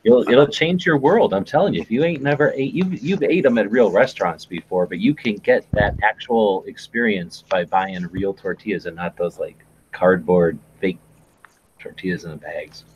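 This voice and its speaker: A man's voice: on the low side, moderate pace. A man chatting casually in a rambling, emphatic, slangy way. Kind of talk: casual chat, opinionated food banter. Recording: dull lo-fi mic with hiss.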